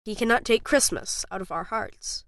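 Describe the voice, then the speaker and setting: A woman's voice: mid-range voice, normal speed, fairly bright tone, dry recording, natural voice. A woman voicing a small, earnest, curious child in an audio fantasy story. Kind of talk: audio storybook character acting. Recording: music behind.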